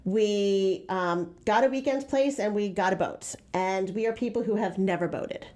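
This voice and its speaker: A middle-aged woman from New York co-hosting a casual show, telling stories in a loud, animated way. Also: medium pitch, normal speed. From Westchester, New York. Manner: loud, animated, laughing storyteller. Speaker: co-host, real estate office owner. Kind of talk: casual chat.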